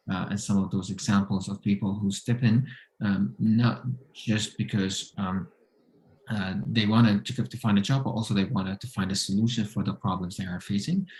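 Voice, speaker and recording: Syrian-accented man, halting answers. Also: on the low side, a little mellow, poor audio. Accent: Syrian Arabic. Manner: halting, many fillers, non-native phrasing. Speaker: guest entrepreneur. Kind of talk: interview answers.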